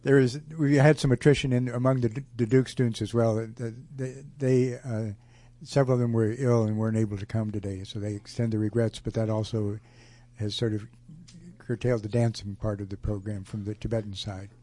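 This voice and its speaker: A man hosting a community event who makes halting announcements full of fillers. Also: on the low side, little pitch movement, mellow. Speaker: event host.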